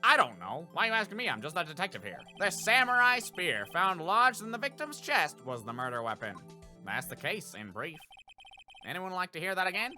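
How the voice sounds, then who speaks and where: A blustery, folksy police detective from a video game, voiced by a man. The delivery is emphatic and forceful, jumping around in pitch as the case is laid out, with faint music behind. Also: very animated, brisk, full character voice. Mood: defensive. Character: gruff, folksy male police detective giving a case briefing. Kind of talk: video game character dub.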